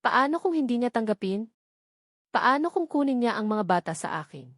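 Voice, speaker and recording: A woman's voice: fairly high pitch, big pitch swings, moderate pace, fairly bright tone, dry recording, clean studio sound. A woman voicing a frightened, protective young mother in a story, anxious and pleading. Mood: fearful pleading.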